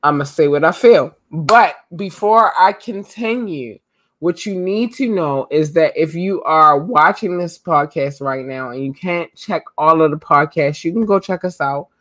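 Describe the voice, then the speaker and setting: Hoarse chatty woman podcast host. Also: sits low, a little slow, a bit bright, no room sound, clean studio sound. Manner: loose and chatty, full of ums and slang. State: losing her voice. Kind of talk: casual live podcast talk.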